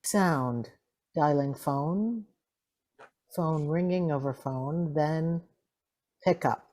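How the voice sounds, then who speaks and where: A woman's voice: low-pitched, extremely slow, mellow. A woman reading out sound-effect directions for a radio play in a clipped, announcing manner. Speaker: sound cue reader.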